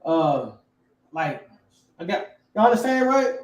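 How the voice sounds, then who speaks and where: High-voiced man teaching in an echoey room. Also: sing-song, slow pace, slight background noise. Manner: quizzing the class, repeats phrases. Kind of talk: classroom teaching.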